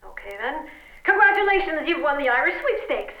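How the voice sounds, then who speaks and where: A woman's voice: sits high, very animated, a little fast, dull audio. A woman playing an earnest, determined wife and amateur sleuth in an old-time radio comedy, with dry asides. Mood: worried, determined. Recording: echoey, band-limited radio-style sound.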